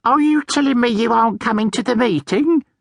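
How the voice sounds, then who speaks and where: A man voicing a fussy, excitable old hag of a witch with a shrill, exclaiming voice. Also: fairly quick, gravelly, no room sound, studio quality, full character voice. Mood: fretful.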